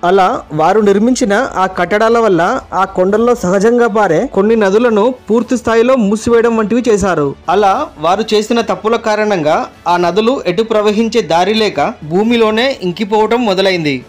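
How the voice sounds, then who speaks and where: A man with a distinctly high voice narrates a facts video. He reads from a script in an explainer style, with soft music playing underneath. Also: brisk.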